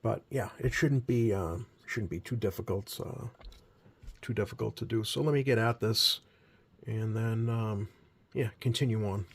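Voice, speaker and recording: A man giving a casual, unscripted hands-on commentary as a hobbyist repairer, easygoing and halting as he thinks aloud. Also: on the low side, husky. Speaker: radio repair hobbyist hosting a video.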